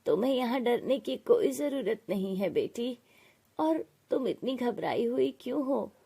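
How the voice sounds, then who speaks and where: Woman voicing a slow, kindly temple priest. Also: a little high, expressive, vocal fry, dark tone, breathy edge, full character voice. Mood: reassuring. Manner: slow, soothing, benevolent. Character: kindly, fatherly male temple priest, reassuring. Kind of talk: animated moral story character voice.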